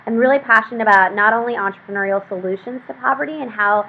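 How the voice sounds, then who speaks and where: A woman's voice: on the high side, fast talker. A young woman from Pakistan with an American accent, a social enterprise founder, telling her story earnestly on a video call, with frequent fillers. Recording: tinny phone-like video call audio with faint noise.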